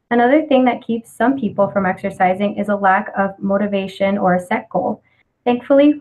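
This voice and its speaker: Female student presenter, 20s, from the US. Mid-pitched voice, measured read of academic material. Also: low-quality recording. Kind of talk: scripted study presentation.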